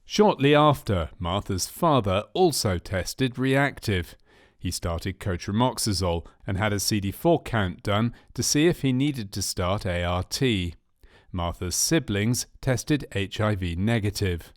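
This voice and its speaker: A man reading scripted documentary narration for a medical training film in a measured, factual manner. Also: on the low side, lively intonation, no room sound, studio quality. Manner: measured, factual delivery of clinical case history.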